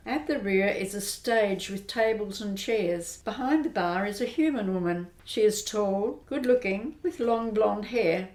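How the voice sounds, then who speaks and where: A woman giving steady, descriptive narration for an amateur radio drama. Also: medium pitch. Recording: roomy echo.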